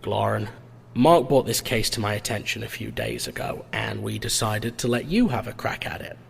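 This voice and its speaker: A man voicing an easygoing, encouraging boss of a small investigation firm in audio fiction, gravelly and husky. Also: lowish voice, moderate pace, a little hiss or hum. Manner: encouraging, informal. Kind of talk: memo read aloud in audio fiction. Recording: long hall-like reverb.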